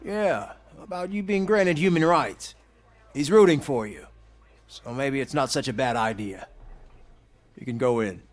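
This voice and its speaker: A man's voice: mid-range voice, measured pace, long pauses, natural voice. A man playing a talkative, informal hospital gatekeeper in a video game. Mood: informal, relenting. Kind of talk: video game cutscene acting.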